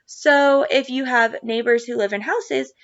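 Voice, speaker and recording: A woman reading aloud to children like a teacher, with expressive phrasing and clear emphasis. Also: on the high side, measured pace, no room sound, muffled recording. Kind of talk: read-aloud of a children's novel with casual asides.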